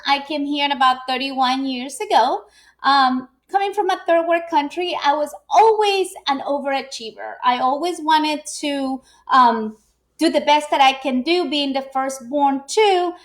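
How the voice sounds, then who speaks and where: Woman, high-pitched, middle-aged, casual live talk, many ums. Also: unhurried. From Ecuador. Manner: chatty, frequent fillers and restarts. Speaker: business owner and speaker. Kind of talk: live video presentation.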